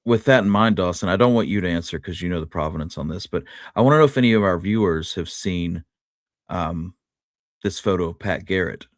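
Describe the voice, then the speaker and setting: A man's voice: low voice, a little fast, dry recording, studio quality. A man co-hosting a casual history discussion, talking conversationally to his partner and viewers. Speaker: podcast co-host.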